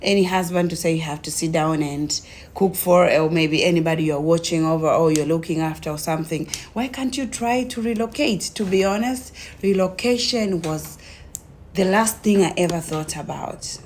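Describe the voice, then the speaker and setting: A young woman with a Tanzanian accent tells her own story casually, in an animated way, acting out conversations as she goes. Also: fairly low pitch, normal speed, slight background noise. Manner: animated retelling, acts out quoted conversations.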